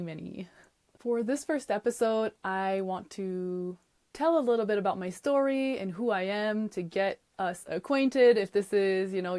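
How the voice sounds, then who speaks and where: A woman whose first language is French hosts her podcast, chatty and upbeat, with little laughs slipped in between phrases. Her voice sits at a middle pitch for a woman, and she talks in a relaxed, personal way. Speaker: podcast host. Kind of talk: casual solo podcast monologue.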